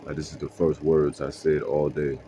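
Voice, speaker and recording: A young man with a very deep voice tells a story in casual slang, rambling with lots of fillers. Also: little pitch movement, normal speed, warm tone, a little hiss or hum. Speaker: vlogger.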